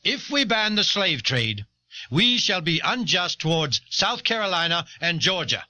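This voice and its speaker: Man acting a sharp-voiced, argumentative founding-era delegate. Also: sits high, big pitch swings, unhurried, no echo, full character voice. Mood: firm reasoning. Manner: formal, reasoning, emphatic. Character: pragmatic eighteenth-century New England delegate, argumentative. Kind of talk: dramatized historical debate speech. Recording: dull old cassette tape.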